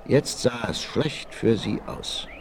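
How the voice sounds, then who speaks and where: A man's voice: medium pitch, takes it slowly, gravelly, crowd noise. A man narrating a 1960s radio adventure as scripted, dramatic storytelling. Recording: 1968 vinyl radio play, noisy.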